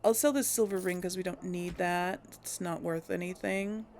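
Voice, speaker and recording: A woman's voice: on the low side, fairly even intonation, moderate pace, darkish tone, a little hiss or hum. A woman hosting a casual gameplay video, chatting and thinking aloud with frequent giggles. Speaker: let's play host.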